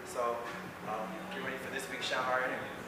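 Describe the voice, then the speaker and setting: A man hosts a casual interview, laid-back and mostly giving short affirmations. He is heard from a distance in a very echoey space. Loud street noise runs under his voice. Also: medium pitch, flat delivery, fairly quick. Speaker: interview host. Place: outdoors on a city street.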